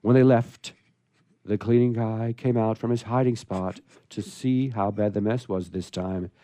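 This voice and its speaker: A man hosting a radio theatre show, reading a humorous story and chatting playfully with theatrical pauses. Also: lowish voice, steady tone, dark tone, dry recording.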